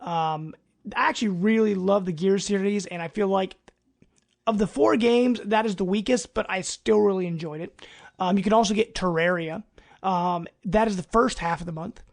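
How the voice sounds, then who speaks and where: Man, high-pitched voice, creaky edges, casual podcast host, informal news delivery. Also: moderate pace.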